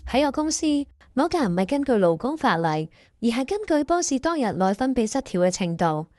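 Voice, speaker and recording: Animated, highish-voiced woman host. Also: brisk, no echo, studio quality. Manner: playful, exaggerated. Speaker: host and narrator of a radio drama. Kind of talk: dramatic comic storytelling.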